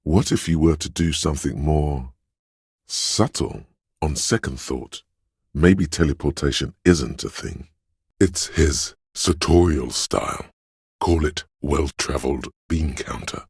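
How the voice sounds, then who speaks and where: Game narrator inner voice, super gravelly, wry n theatrical, suave, measured, really dry close sound. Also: male voice, very deep voice, darkish tone, no room sound, full character voice. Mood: dry, advising. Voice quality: gravelly, husky. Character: suave, theatrical inner voice of a detective's flair and agility. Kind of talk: scripted second-person game narration.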